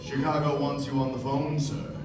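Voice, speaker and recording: A man's voice: mid-range voice, flat delivery, normal speed, natural voice. A man playing a formal, deferential butler in a stage radio play. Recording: echoey stage, distant mic, music behind.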